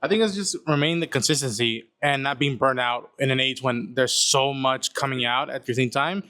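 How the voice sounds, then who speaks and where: A man's voice: mid-range voice, normal speed, bright, forceful tone. A man who creates online content, speaking reflectively on a panel and searching for his words as he goes. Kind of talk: casual panel chat.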